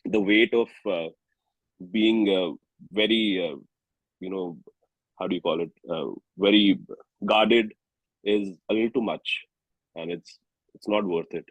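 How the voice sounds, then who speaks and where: A man with an Indian accent chatting casually as an interview guest, halting and candid as he searches for words. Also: sits low, no echo, narrow, tinny audio. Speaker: singer-songwriter guest.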